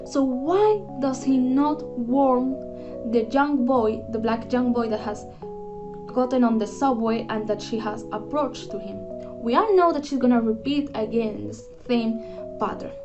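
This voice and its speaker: A young woman presents a prepared book review, her voice sitting a little high as she works carefully and earnestly through her points, with a few restarts. The recording is dull and lo-fi, and clear guitar music plays underneath her. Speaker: student presenter.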